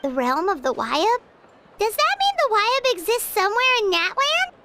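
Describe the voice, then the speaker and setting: A woman voicing a tiny, excitable, inquisitive fairy companion in a video game, with a chirpy childlike manner. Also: very high voice, normal speed, heavy background noise. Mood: curious, puzzled. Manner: chirpy, inquisitive, refers to self by name. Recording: loud music under the voice.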